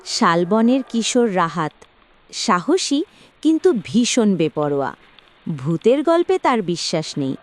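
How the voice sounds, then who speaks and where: A woman's voice: medium pitch, big pitch swings, unhurried, a little hiss or hum. Woman narrator with swooping intonation. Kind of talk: dramatic scripted story narration.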